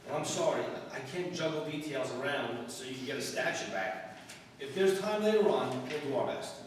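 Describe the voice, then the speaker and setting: A man's voice: mid-range voice, animated, moderate pace, natural voice. Brusque police captain, acted by a man, noisy echo. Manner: curt, businesslike. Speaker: amateur radio-play actor. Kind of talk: 1950s police radio drama acting. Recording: echoey hall from far off, clear noise.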